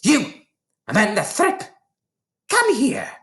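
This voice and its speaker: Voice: medium pitch, wildly swooping pitch, takes it slowly, a bit bright, voice pushed up, heavily acted. A reader voicing a huge, menacing, contemptuous headmistress who barks and bellows orders in a children's storybook. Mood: furious, commanding. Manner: barking, bellowing commands.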